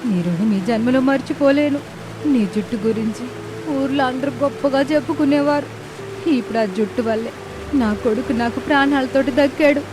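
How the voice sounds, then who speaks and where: A woman's voice: high-pitched, normal speed, natural voice. A woman playing a grateful, tearful village mother in a story cartoon, emotional and effusive. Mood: tearful gratitude. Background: background music.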